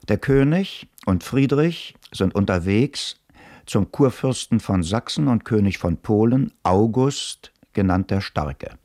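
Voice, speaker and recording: A man giving calm, measured scripted narration for a radio play. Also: lowish voice, gravelly, no room sound, studio quality.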